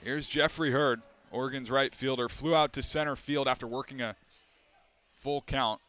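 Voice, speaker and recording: A young man doing live play-by-play, with a quick, crisp call that climbs into excited shouts on big plays. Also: mid-range voice. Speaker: play-by-play announcer. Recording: broadcast with loud arena crowd and noise.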